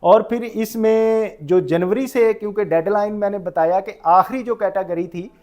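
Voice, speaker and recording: A man's voice: sits high, moderate pace, a little mellow. A man with a Pakistani accent giving an informal explanatory lecture, mixing in English terms and restating his points in an instructive way. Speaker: tax professional giving a lecture.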